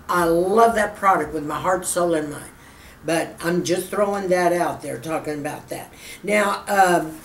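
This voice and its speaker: A woman's voice: lowish voice, recorded from a distance. A woman in her fifties with a Texas accent, chatting to her viewers in a skincare Q&A and calling them girlfriend. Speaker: skincare vlogger. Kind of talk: casual Q&A to camera. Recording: echoey room, off-mic, faint hum.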